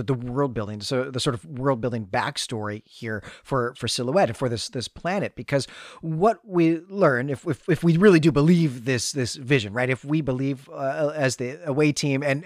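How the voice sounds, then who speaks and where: A man's voice: medium pitch, dry recording. A man with a mid-range voice talks as a podcast host on a close, dry microphone. He is analytical and enthusiastic, doubling back on words as he works through literary discussion.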